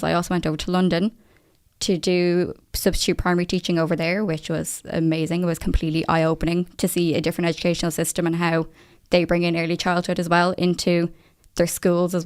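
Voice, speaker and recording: A woman in her twenties co-hosts a podcast and talks with eager enthusiasm. Her voice sits on the low side and sounds smooth and clear. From Ireland. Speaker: podcast co-host. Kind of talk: casual podcast chat.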